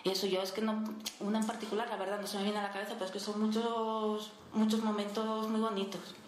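A woman, a caregiver answering radio interview questions in a warm, halting way with many restarts. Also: medium pitch, level tone, slight background noise. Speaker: interviewee, caregiver in a children's home. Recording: echoey room.

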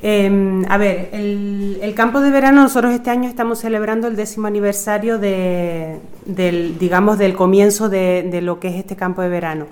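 A woman's voice: mid-range voice, steady tone, moderate pace, darkish tone. Hesitant woman from Tenerife. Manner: hesitant, searching for words. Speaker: summer camp coordinator. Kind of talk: interview answer.

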